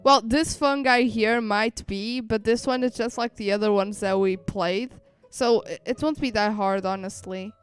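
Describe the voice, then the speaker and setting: A woman streamer reacting live in casual, excitable chat. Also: on the high side, moderate pace, few pauses, clean tone, soft background music. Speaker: streamer reacting to a game livestream.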